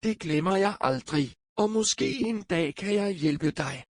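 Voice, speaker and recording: A man's voice: high-pitched, animated, very noisy. A man voicing a small, timid, friendly mouse in a children's cartoon story. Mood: grateful. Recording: loud music behind the voice. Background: music.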